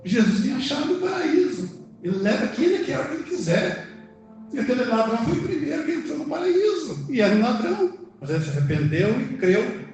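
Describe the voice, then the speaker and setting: Echoey, high-pitched Brazilian male preacher. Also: sing-song, brisk. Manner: emphatic, asks questions and answers them, prompts congregation. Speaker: preacher, church elder. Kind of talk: conversational sermon with rhetorical questions. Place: indoor church service, music in the background. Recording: very echoey church hall, music behind.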